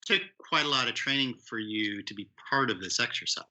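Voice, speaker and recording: Very bright, crisp-edged male interviewer. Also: mid-range voice, expressive, a little fast, poor audio. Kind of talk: casual interview questions and brief affirmations.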